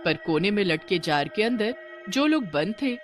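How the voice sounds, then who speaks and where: Woman narrator, scripted cartoon horror tale, mid pitch, very varied intonation, background music. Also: lively intonation, normal speed.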